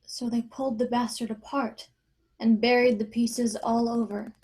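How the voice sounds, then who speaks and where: A woman playing a shaken but determined mother in a screenplay table read, speaking in an urgent, probing, halting way. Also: on the high side, flat delivery, a little mellow, close to an everyday voice, rough recording. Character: Sue, shaken, determined mother hunting for her daughter.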